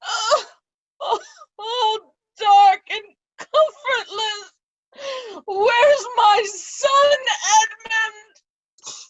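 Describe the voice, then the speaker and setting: Woman playing anguished old nobleman, very high-pitched. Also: very slow, long pauses, lo-fi sound, full character voice. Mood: agonized, wailing. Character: old nobleman Gloucester, tortured, blinded, anguished. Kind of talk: Shakespearean readers' theatre.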